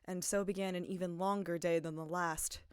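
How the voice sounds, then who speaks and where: A woman's voice: fairly even intonation, moderate pace, clean tone, no echo, clean studio sound. A young woman streaming a game with friends, chatting, laughing and reading out dialogue, with game music behind. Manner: giggly, swearing, reads dialogue in a lower, quieter voice. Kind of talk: casual let's-play chat and text reading.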